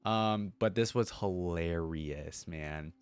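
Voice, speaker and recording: Low-voiced man casually reacting to videos. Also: slow pace, clean tone, no echo. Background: music from videos being watched.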